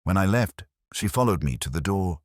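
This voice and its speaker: A man playing a weary, melancholy police inspector who narrates his case in measured, literary first-person prose. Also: low-pitched, lively intonation, darkish tone, dry recording, clean studio sound. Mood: watchful.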